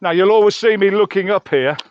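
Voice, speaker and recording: A man's voice: high voice, a little fast, clear, resonant tone, lo-fi sound. A man vlogging casually as he hikes outdoors, rambling and musing aloud in a chatty way. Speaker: vlogger reviewing gear outdoors. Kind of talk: casual narration to camera while hiking. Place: outdoors on a mountain trail.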